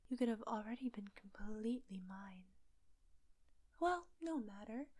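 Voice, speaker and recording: A seductive witch, acted by a woman in an intimate audio drama, pitched fairly high yet mellow. She is breathy and unhurried, teasing as she gives commands. The sound is close and very dry. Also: no room sound, close to an everyday voice. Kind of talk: roleplay audio drama, intimate ASMR-like delivery.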